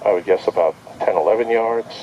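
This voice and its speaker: A man's voice: lowish voice, a little fast, lo-fi sound, a little hiss or hum. A man giving a casual hands-on product demonstration outdoors, thinking aloud in short step-by-step remarks. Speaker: product reviewer. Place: outdoor backyard.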